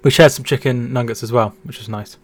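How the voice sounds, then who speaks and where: A man's voice: medium pitch, fairly even intonation, fairly quick. A man hosting a casual football watch-along stream from home, reading out chat and calling the match. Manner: chatty, repeats words and restarts while reading chat.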